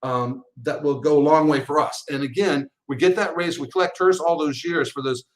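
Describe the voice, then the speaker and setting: A man's voice: fairly high pitch, fairly even intonation, moderate pace. A man in his sixties, a financial advisor, explains slides in a webinar in a chatty way and often restarts his phrases.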